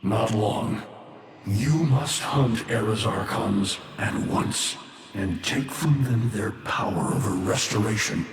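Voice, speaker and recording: A man's voice: lowish voice, slow pace, vocal fry. A male actor playing an ancient, brooding alien war-being in a video game, grave and scornful, with solemn, portentous delivery drenched in reverb. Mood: grave counsel. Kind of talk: theatrical scripted video game voice acting. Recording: cavernous long reverb, faint background noise.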